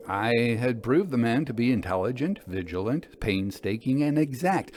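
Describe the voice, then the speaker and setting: A man's voice: medium pitch, darkish tone, dry recording. Man dramatically narrating a classic story. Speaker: audiobook narrator.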